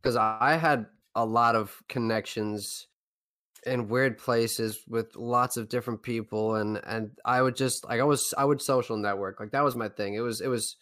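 A young man from Newfoundland, Canada, with a Newfoundland accent, co-hosting a casual podcast chat and telling rambling, animated stories. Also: mid-range voice, moderate pace. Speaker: podcast co-host.

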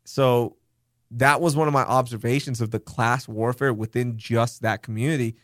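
A young man, born in Pakistan, hosting a casual podcast chat, telling candid, animated stories with some swearing. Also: medium pitch, moderate pace, dry recording, clean studio sound. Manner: candid, animated storytelling with profanity. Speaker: podcast host.

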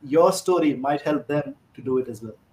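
Male podcast host, encouraging, rambling questions, slightly high, echoey room. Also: normal speed, darkish tone. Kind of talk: podcast interview. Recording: roomy studio echo.

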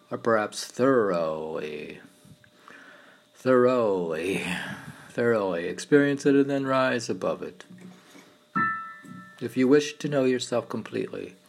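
A man reading his own meditative poems in a halting way, now and then repeating or stumbling over words. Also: on the low side, creaky. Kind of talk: slow meditative poetry reading. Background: piano and keyboard music.

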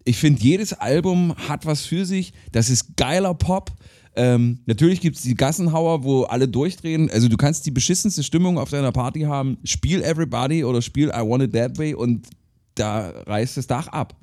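A man's voice: mid-range voice, moderate pace. A man in his thirties, co-hosting a casual podcast, telling jokey stories about music. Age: 30s. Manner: animated storyteller, jokey. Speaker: podcast co-host.

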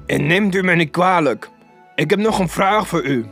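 A man voicing a hearty, exclamatory old man, giddy and generous with a mock-gruff streak, in a storybook reading. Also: high voice, normal speed, fairly bright tone, soft background music, pitched above the performer's own voice. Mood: cheerful, polite.

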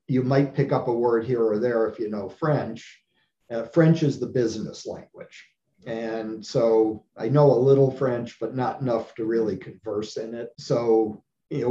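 A man from the United States, an eye surgeon being interviewed, explaining his work in a measured way with frequent pauses. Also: medium pitch, dark tone, reverberant, dull audio. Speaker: ophthalmologist guest. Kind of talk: conversational interview.

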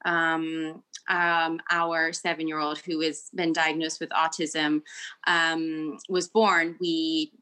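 A middle-aged woman as a podcast guest, telling a personal story with many pauses and ums. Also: sits low, flat delivery, pushed and bright, rough recording.

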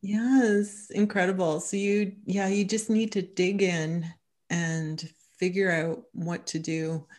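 Casting: female interviewer, somewhat low voice, encouraging responses. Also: little pitch movement, slow pace, darkish tone. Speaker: host.

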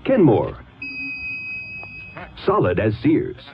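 Male announcer, vintage radio commercial, very animated, narrowband sound. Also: on the low side, extremely slow, creaky. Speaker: advertising announcer. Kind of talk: 1970s radio commercial read.

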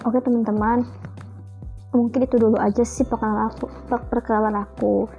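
A woman's voice: on the high side, little pitch movement, brisk, highs cut off, soft background music. A young woman hosting her first solo podcast, talking in a chatty, reflective way with many fillers. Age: young adult. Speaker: podcast host. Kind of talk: casual solo podcast monologue.

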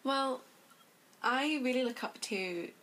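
A woman's voice: high-pitched, lively intonation, slow, fairly bright tone, a little hiss or hum. A young woman chatting casually as an interview guest, hesitant and a little giggly. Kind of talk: casual chat.

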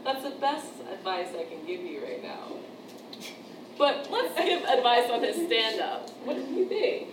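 Playful, slow-talking woman hosting an open mic. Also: fairly high pitch, big pitch swings. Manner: playful and teasing. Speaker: open mic host giving feedback. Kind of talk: casual chat with comics. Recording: echoey room, far from mic, hiss.

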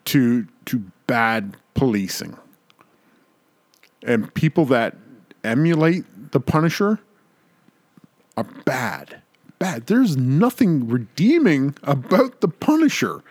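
A man's voice: mid-range voice, very animated, slow, pauses often, darkish tone, no room sound. A man co-hosting a podcast in casual, opinionated chat, making points emphatically and repeating words for stress. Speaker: podcast co-host.

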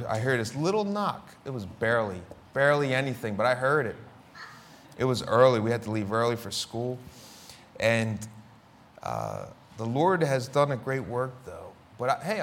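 A middle-aged male pastor with a Philadelphia accent, preaching with dramatic swings between loud declarations and hushed words, and acting out conversations as he tells stories. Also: medium pitch, very animated, normal speed, slight background noise. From Philadelphia inner city. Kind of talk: sermon.

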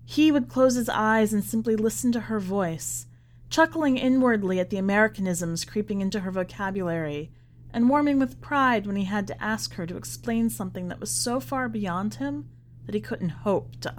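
A woman reading literary fiction aloud as scripted narration, with measured, deliberate phrasing. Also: mid-range voice, lively intonation, slight background noise. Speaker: podcast host reading a short story.

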